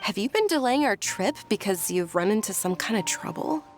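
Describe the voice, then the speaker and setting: A woman voicing a sheltered, earnest young noblewoman in a video game, gentle and sincere. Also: medium pitch, expressive, normal speed, natural voice. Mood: concerned. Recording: game audio with faint music.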